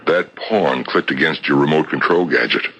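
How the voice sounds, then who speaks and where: A relentless, methodical police detective in a 1970s radio mystery. His voice is very deep and forceful, with a husky rasp. He presses each accusation insistently, heard through a dull old broadcast recording. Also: moderate pace, exaggerated voice. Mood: triumphant, insistent. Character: shrewd, relentless male police detective laying out a murder case.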